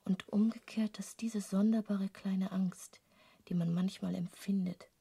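An actress in a literary radio play playing an intense, searching woman painter, speaking intimately and deliberately in a breathy, creaky half-whisper. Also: mid-range voice, steady tone, warm tone. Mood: yearning, intense. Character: intense female painter hungry for forbidden knowledge, musing.

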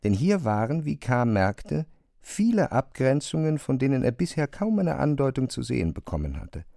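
A man's voice: medium pitch, big pitch swings, normal speed, creaky, mellow, no echo, clean studio sound. A man reading scripted literary narration for an audio drama.

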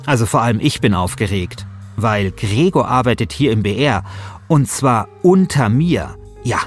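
A middle-aged man hosting a podcast as a journalist, speaking colloquially in a mix of casual chat and first-person narration. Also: mid-range voice.

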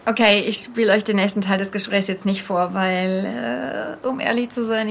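An actress playing an earnest young podcaster, speaking in a casual, slightly hesitant storytelling style. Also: female voice, mid-range voice, creaky, slight background noise, natural voice. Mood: casual, confiding. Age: young adult. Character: earnest young female podcaster haunted by a childhood memory. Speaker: podcast host and narrator. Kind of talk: conversational first-person audio drama storytelling.

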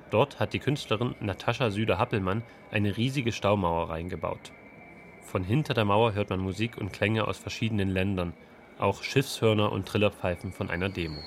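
A man's voice: lowish voice, slight background noise. A man reporting for a radio culture magazine in scripted narration, reflective and posing rhetorical questions.

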